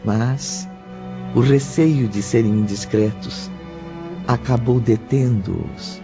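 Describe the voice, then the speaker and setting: Slow, solemn male story narrator. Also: medium pitch, creaky. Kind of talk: scripted dramatic narration. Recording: slightly muffled, music underneath.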